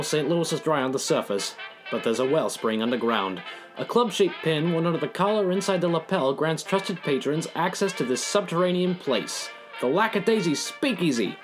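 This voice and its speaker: A man's voice: on the high side, poor audio. A man delivering scripted dramatic narration as a storyteller. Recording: music behind the voice. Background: music.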